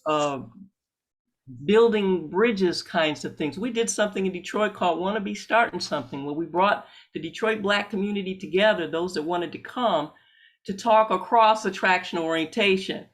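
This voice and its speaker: A man's voice: high-pitched, normal speed, a bit bright. A man facilitating a workshop discussion, a clinician speaking in an affirming, encouraging and reflective way.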